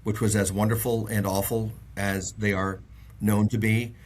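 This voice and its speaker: A man's voice: fairly low pitch, little pitch movement, normal speed, background noise. A middle-aged man from the Bahamas, a guest on an interview show, telling long stories with lots of fillers and restarts. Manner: rambling, many fillers and restarts. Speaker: auto industry guest. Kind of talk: casual interview chat.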